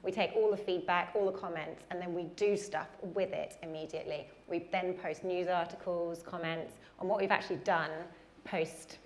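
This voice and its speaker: A woman's voice: on the low side, steady tone, moderate pace, a little hiss or hum. A woman answering questions on a conference panel, chatty with frequent ums. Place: conference hall.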